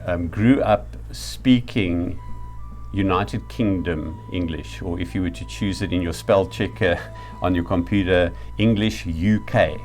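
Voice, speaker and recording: A middle-aged or older man with a South African accent, giving a reflective lecture in a deliberate, emphatic manner. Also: low voice, darkish tone, faint music behind.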